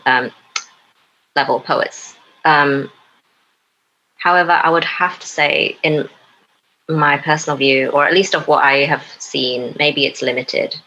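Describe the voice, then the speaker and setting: Young woman from Newcastle upon Tyne, a poet and podcast guest, low bright voice, hesitant and full of fillers. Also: muffled recording, a little hiss or hum. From Newcastle upon Tyne, England. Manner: hesitant, many pauses and fillers. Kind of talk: casual interview chat.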